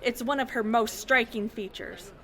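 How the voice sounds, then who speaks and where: High-voiced, sneering wasteland lawwoman, acted by a woman. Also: moderate pace, fairly bright tone. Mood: accusing. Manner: sneering, accusatory, authoritative. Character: hostile, suspicious female town lawkeeper in a wasteland. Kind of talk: post-apocalyptic audio drama acting.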